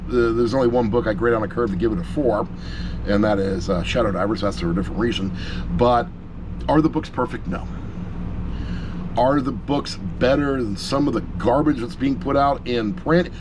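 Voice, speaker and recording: Noisy recording, middle-aged man, average pitch, informal video review, opinionated, emphatic, repetitive phrasing, mildly sweary. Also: moderate pace. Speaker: audiobook reviewer.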